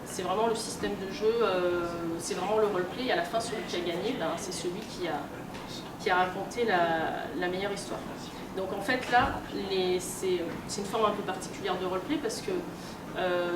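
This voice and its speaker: A roomy, echoing recording with clear noise carries a woman giving an informal talk at a convention. She explains in loose, colloquial phrasing, often hesitating and filling pauses as she goes. Her pitch sits in the middle for a woman. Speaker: speaker, role-playing game researcher and editor. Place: indoor room at a games convention. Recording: roomy, distant, noisy live convention recording.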